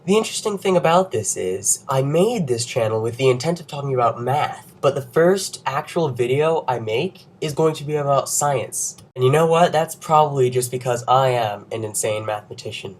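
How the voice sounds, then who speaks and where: Young man, congested from a cold, medium pitch, informal educational presenter, wry nerdy asides. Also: lively intonation, moderate pace, hardly any pauses. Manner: wry, self-joking, nerdy. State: under the weather with a cold. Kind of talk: informal science explainer.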